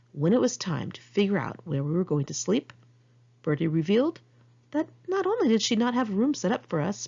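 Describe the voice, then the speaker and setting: A woman's voice: on the low side, creaky, darkish tone, muffled recording. An American woman reading her own memoir aloud in a candid, conversational, sardonic way. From United States, Los Angeles area. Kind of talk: first-person memoir narration, read aloud in one take.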